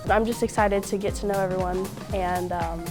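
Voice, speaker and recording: A woman's voice: mid-range voice, fairly even intonation, moderate pace. A young woman from the central United States, a college swimmer answering casually. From Kansas City, United States. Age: college freshman, about 18. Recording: music playing behind the voice.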